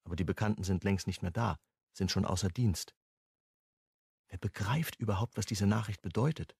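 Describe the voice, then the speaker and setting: An actor playing the reflective narrator of a crime radio play, reading scripted narration. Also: male voice, low-pitched, normal speed, vocal fry, darkish tone, breathy edge, full character voice.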